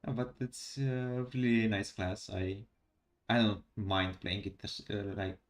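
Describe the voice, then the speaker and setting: A man from Romania with a Romanian accent, chatting casually about a game late at night. He sounds tired and talks haltingly, with many fillers. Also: fairly low pitch, steady tone. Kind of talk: casual gaming chat.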